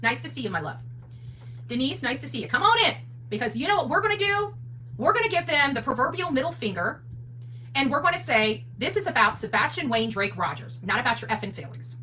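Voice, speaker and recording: A woman delivers combative livestream commentary in a bright, sharp, high voice. She is emphatic and blunt, throwing rhetorical questions at her audience with very animated intonation. The mic sounds distant, with a faint hum behind her. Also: fairly quick. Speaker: livestream host, advocate commentator. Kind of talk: fired-up livestream commentary addressed to viewers.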